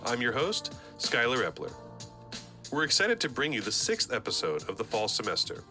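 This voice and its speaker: A man's voice: mid-range voice, huge pitch swings, normal speed, pushed and bright, faint music behind. A young man hosting a podcast with scripted radio introductions. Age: young adult. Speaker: podcast host.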